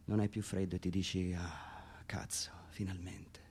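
A man reading his own literary monologue for radio theatre, dramatic and introspective, with deliberate pauses. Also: low voice, level tone, a little mellow, slightly rough. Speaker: writer reading own text aloud.

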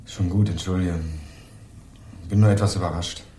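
Actor as a low-voiced, restrained young student narrator. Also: male voice, fairly even intonation, moderate pace, warm tone, reverberant, lightly acted. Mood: subdued. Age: young adult. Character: young male student returning home, subdued. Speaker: narrator and protagonist. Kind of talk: 1980s radio drama, first-person narration.

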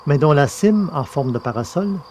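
A man's voice: fairly high pitch, lively intonation, moderate pace, warm tone, a little hiss or hum. A man hosting and narrating an audio fiction podcast in a scripted reading style.

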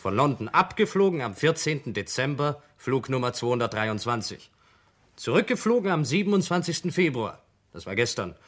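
An actor playing a self-assured nephew and heir under suspicion in a German radio crime play, indignant and emphatic. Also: male voice, medium pitch, sing-song, moderate pace. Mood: defensive, indignant.

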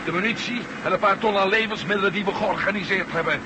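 An actor playing a practical, sceptical fugitive companion with a questioning manner in a Dutch radio drama. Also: male voice, high voice, fast talker, lightly acted. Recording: noisy radio play, loud vehicle sound effects.

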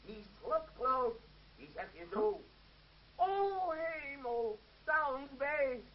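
An actor playing a bossy, pompous leader of travelling players in a theatrical vintage radio play style. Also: male voice, very high-pitched, sing-song, very slow, heavily acted. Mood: scolding. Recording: muffled, faintly hissy old radio recording.

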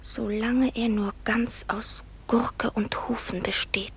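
An actress playing a prim young schoolteacher who secretly burns with desire, in a breathy whisper for a literary radio drama. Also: female voice, fairly high pitch, fairly even intonation, takes it slowly, full character voice. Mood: secretly lustful.

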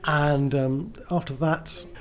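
A man's voice: a little high, fairly even intonation, slow. A man being interviewed as a writer, chatting casually and enthusiastically, often rambling and breaking into his own sentences.

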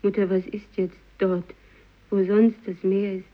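Schauspielerin als langsame, gequälte Mutter. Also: female voice, medium pitch, flat delivery, measured pace, lightly acted. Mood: haunted. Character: haunted mother recalling her dead child's questions. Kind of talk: 1950s literary radio play acting. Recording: dull, lo-fi radio recording.

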